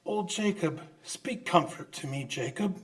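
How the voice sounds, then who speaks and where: A man's voice: sits high, expressive. A man playing a crotchety, stubborn old miser who stammers and exclaims in an old-time radio drama. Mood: shaken, pleading. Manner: halting, exclamatory, stammering in fright.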